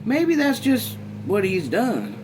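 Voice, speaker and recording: Man as farm mother, raised pitch, gentle, coaxing, folksy, storybook narration. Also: huge pitch swings, takes it slowly, music in the background, exaggerated voice.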